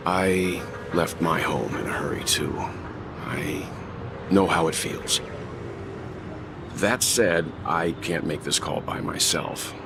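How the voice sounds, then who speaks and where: A man's voice: low voice, takes it slowly, very noisy. An actor playing a guarded, stern but considerate man in a video game, speaking tersely and seriously. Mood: guarded, firm. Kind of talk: scripted video game dialogue. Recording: loud background music over dialogue.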